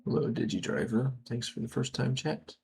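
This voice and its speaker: A man's voice: mid-range voice, moderate pace, vocal fry, darkish tone. A man streaming a video game, chatting casually with his viewers as he wraps up the session and thinks aloud. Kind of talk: casual stream sign-off chat.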